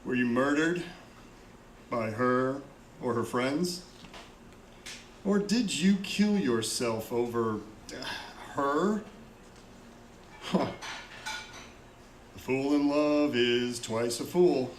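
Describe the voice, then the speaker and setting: A man's voice: mid-range voice, lively intonation, extremely slow, pauses often. An actor playing a lonely coroner in a radio drama, musing dryly as he chats to dead bodies. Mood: wry, musing. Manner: idle, dry, talking to himself. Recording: echoey room with faint noise.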